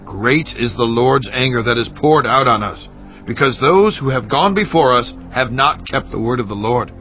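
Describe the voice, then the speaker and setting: A man's voice: medium pitch, expressive, moderate pace, close to an everyday voice. An actor playing an earnest, commanding ancient king in a dramatized scripture audio, with grave, declamatory delivery. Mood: grave, urgent. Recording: slightly dull audio over faint music.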